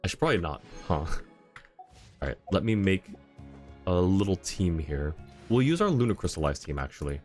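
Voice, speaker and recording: A man's voice: low voice, very animated, moderate pace, long pauses, a little mellow. A man chatting casually on a game livestream, thinking aloud and rambling as he reads chat, with music behind him. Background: video game music.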